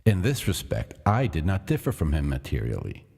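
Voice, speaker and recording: Man, very deep voice, measured dramatic storytelling, gothic tale, long reverb. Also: huge pitch swings, vocal fry, darkish tone, breathy edge. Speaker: narrator.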